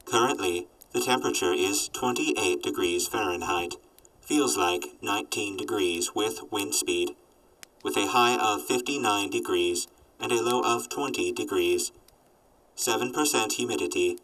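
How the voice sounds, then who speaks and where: A man voicing a sardonic robot companion in an audio drama, reporting in a formal, clipped deadpan. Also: on the low side, measured pace, recorded from a distance, rough recording. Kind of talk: post-apocalyptic audio drama acting.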